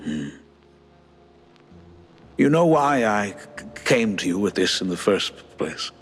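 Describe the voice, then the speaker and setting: A man voicing an earnest, idealistic mouse in a cartoon game, an old war buddy with a heavy, halting stutter and a warm-hearted manner. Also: on the low side, very animated, slightly rough, faint music behind, full character voice. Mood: earnest, fond.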